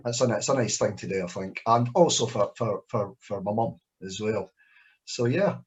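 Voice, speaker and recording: A Scottish man, a poet, reading and then answering questions in a relaxed, self-deprecating way, with frequent hesitations and restarts over a video call. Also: lowish voice, moderate pace. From Scotland. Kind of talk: spoken word reading and Q&A.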